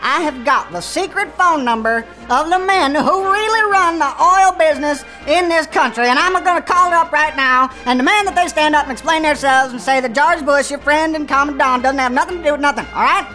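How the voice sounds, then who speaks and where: A woman playing a bumbling, excitable male president in a satirical comedy, stammering in a folksy Southern drawl. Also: high-pitched, brisk, few pauses, faint music behind, poor audio. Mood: flustered, pleading. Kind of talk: satirical cartoon-style comedy sketch acting.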